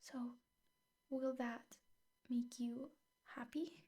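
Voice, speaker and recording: Casting: actress as obsessive yandere woman teacher for ASMR roleplay; soft, dark-toned, very unhurried, doting and coaxing. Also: sits high, steady tone, exaggerated voice. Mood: hurt, pleading. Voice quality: soft, breathy, often whispering. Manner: slow, doting, coaxing with hesitant pauses. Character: possessive, lovesick yandere woman teacher coaxing her captive.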